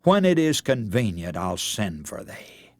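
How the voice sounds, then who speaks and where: Male preacher as a guilt-ridden Roman governor for a radio sermon story. Agitated, evasive, pleading, with big swings in pitch. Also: big pitch swings, a little mellow, no echo, studio quality. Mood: conflicted, dismissive. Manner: agitated, pleading, halting short exclamations. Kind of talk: acted quote within a radio sermon.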